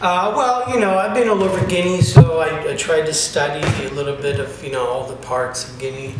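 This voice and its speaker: Male drum teacher from Italy, slightly high voice, rambling casual explanations, far-off mic in a reverberant room. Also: brisk. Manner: chatty, explanatory, lots of fillers. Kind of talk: casual interview answers. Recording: echoey room, distant mic, noisy.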